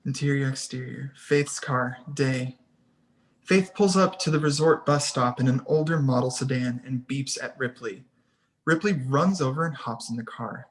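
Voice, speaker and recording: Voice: medium pitch, moderate pace. A narrator reading scene headings and stage directions aloud at a screenplay table read.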